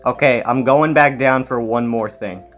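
A man's voice: medium pitch, moderate pace, fairly bright tone. A young man with a Texas accent chatting casually while gaming with a friend, panicking and teasing by turns. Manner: jumpy, panicky yelps, teasing. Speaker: gamer recording with a friend. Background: faint game music.

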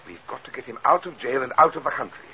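An actor with an American accent playing a smooth-talking, scheming villain who poses as a friend, in 1940s radio style. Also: male voice, medium pitch, fast talker, close to an everyday voice. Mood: earnest, urgent. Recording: dull, hissy 1940s radio recording.

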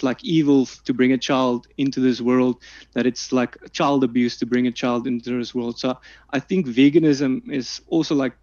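A man whose first language is Afrikaans speaks in a hesitant debate statement, full of pauses and restarts. His mid-pitched voice comes through a video call. The sound is dry and a bit dull. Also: darkish tone, dry recording. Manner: halting, many fillers and restarts. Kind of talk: online debate opening statement.

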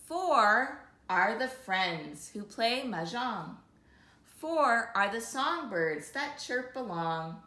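A woman in her thirties hosting an interactive storytime, reading a picture book expressively and clearly for young children. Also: fairly high pitch, bright, forceful tone. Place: small living room, seated on a sofa. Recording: roomy indoor sound.

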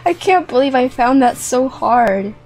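A woman's voice: high-pitched, animated, unhurried, darkish tone. A young woman hosting a playful gameplay video in an exclamatory, giggly manner, often repeating phrases. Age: young adult. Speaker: gaming video host. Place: small indoor room with plush toys. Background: music.

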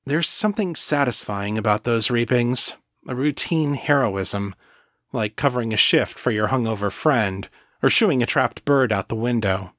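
A man narrating first-person fiction from a script in a wry, measured storytelling manner. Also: medium pitch, fairly bright tone, dry recording, studio quality. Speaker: audiobook narrator.

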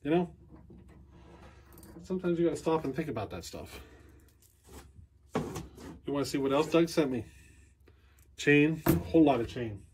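A man's voice: a little high, lively intonation, measured pace, long pauses, darkish tone, slight background noise. A man in his forties, a chainsaw channel host, explaining things casually in a practical, plain-spoken way with frequent ums and you-knows, recorded on a close handheld camera in a cluttered workshop. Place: cluttered workshop garage, indoors.

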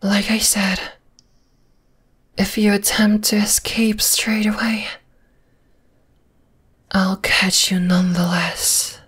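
A woman voicing a possessive, gently dominating spider monster girl in an ASMR roleplay, in a slow, deliberate, breathy whisper. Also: mid-range voice, little pitch movement, heavily acted. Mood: softly menacing. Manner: slow, deliberate, soothing yet menacing.

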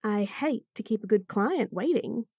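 A woman's voice: medium pitch, lively intonation, normal speed, dark tone, slightly rough, dry recording, studio quality. A woman voicing a bratty, sassy bunny-girl chef in a fantasy roleplay audio, teasing and talking straight to the listener. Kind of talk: F4M roleplay audio, acted monologue.

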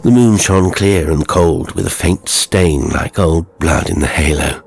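A man's voice: low voice, a little slow, gravelly, slight background noise. A man narrating a horror story in a dramatic, scripted audiobook style.

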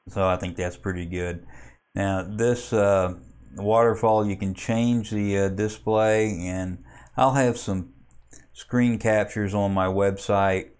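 A man giving an unscripted software walkthrough as a hobbyist, explaining step by step in a plainspoken, halting way. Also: sits low, clear, resonant tone. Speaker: amateur radio hobbyist giving a software tutorial.

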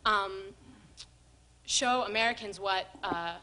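A young woman asks a long question from a standing microphone in a hall, with hesitant pauses and many ums. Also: medium pitch, crowd noise. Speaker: audience member asking a question. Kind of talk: public question from the floor. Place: lecture hall. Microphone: standing mic.

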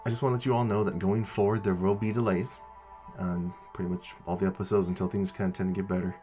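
A man's voice: sits low, flat delivery, fast talker, dark tone. A man hosting a podcast, giving an informal announcement to listeners in casual language with some mild cursing. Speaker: podcast creator and host. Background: background music.

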